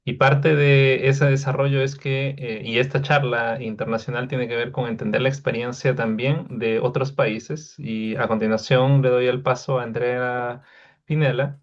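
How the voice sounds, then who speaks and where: A man's voice: mid-range voice, steady tone, normal speed. A man moderating a conference panel, introducing speakers with many fillers. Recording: dull lo-fi sound.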